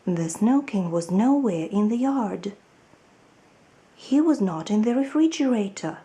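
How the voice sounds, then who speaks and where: A woman narrating a children's storybook aloud in an expressive reading style. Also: mid-range voice, unhurried. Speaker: storybook reader.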